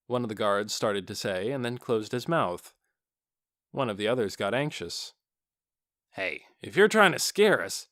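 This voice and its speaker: A man's voice: medium pitch, dry recording, studio quality. A man reading scripted fantasy fiction as an audiobook narrator.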